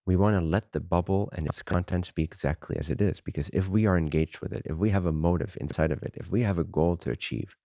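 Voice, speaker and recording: A man leading a guided meditation as a teacher, unhurried and informal, speaking in short phrases with pauses between them. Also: sits low, steady tone, dark tone, no echo.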